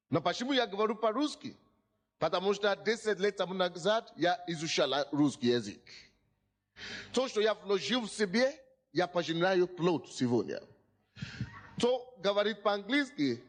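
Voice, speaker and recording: Lively high-voiced preacher exhorting a congregation. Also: male voice, a little slow, long pauses. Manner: exhorting, repeats phrases, often asks the listeners if they hear him; slightly broken non-native phrasing. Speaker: pastor. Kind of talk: sermon. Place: in front of a congregation.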